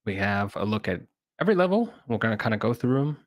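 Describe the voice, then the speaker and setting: Relaxed man co-hosting a game show. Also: fairly low pitch, quick pace, dry recording. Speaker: podcast co-host. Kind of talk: casual chat about tabletop game rules.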